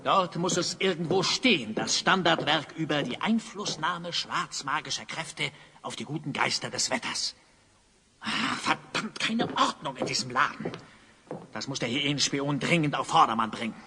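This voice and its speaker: Swooping, theatrical evil sorcerer. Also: male voice, a little high, expressive, moderate pace. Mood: grumbling, scheming. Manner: theatrical, grandiose, muttering to himself. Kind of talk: audio drama acting. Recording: clearly noisy background.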